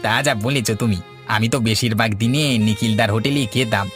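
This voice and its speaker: A man's voice: on the low side, a little fast, lightly acted. A man voicing a curious, chatty village customer in a cartoon. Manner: chatty, inquisitive. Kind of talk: Bengali cartoon voice acting. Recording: background music.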